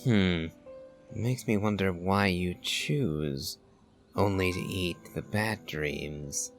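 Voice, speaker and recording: A man giving deliberate, pondering game commentary in wordy, thoughtful phrases. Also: low voice. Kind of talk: slow, musing game commentary and dialogue reading. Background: game music.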